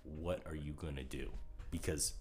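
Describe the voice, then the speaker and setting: Podcast co-host, young man, very deep low voice, rambling and self-repeating, faint music under it. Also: fairly even intonation, normal speed, a little mellow. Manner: rambling, repeats words when starting sentences, laughs often. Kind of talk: casual podcast chat.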